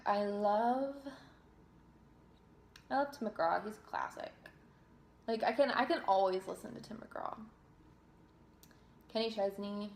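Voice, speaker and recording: A woman's voice: mid-range voice, slow, gravelly, long pauses. A young woman from New Jersey, a singer, answering viewer questions in a casual, chatty way with fillers and restarts. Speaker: singer and vlogger. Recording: roomy echo.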